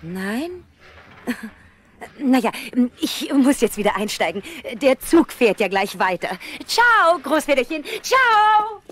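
A woman's voice: high-pitched, big pitch swings, slow pace, fairly bright tone, heavily acted. An actress playing a chatty, flippant young woman traveller in a 1970s German radio play, gushing and cheerfully familiar. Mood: breezy.

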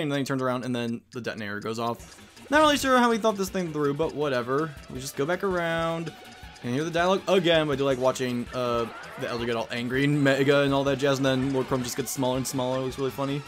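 A man's voice: mid-range voice, quick pace, a bit bright. A man giving casual, rambling, self-deprecating commentary on a video game. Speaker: let's player. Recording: game music behind.

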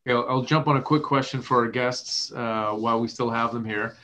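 A man's voice: medium pitch, fairly even intonation, a little fast, bright, forceful tone. A man reading viewer questions aloud to guests, methodical.

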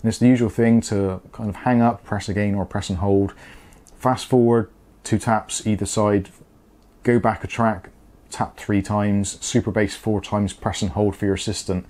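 A man's voice: lowish voice, steady tone, normal speed, a little mellow. A British man in his forties giving a casual, conversational product review to camera, explaining things as he goes. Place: small home studio room, indoors.

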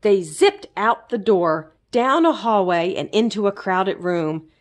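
A woman reads a children's storybook aloud at a slow, careful pace. Her intonation is lively and varied, and she leans on key words with dramatic pauses. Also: medium pitch. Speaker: library storyteller.

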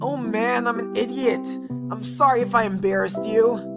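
A woman's voice: on the high side, moderate pace, natural voice. A woman voicing an earnest, awkward teenage boy, stammering and caring, in a cartoon comic dub. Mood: tender, awkward. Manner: stammering, reassuring. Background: background music.

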